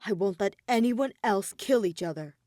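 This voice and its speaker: A woman's voice: medium pitch, expressive, moderate pace, dark tone, no echo, studio quality, lightly acted. A woman voicing an earnest, determined but anxious teenage boy in a fan fiction audiobook. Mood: resolute.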